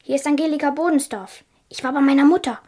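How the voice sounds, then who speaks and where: A woman's voice: sits high, fast talker, background noise, natural voice. A female performer playing an earnest, dutiful young girl in a crime radio play. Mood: earnest, anxious.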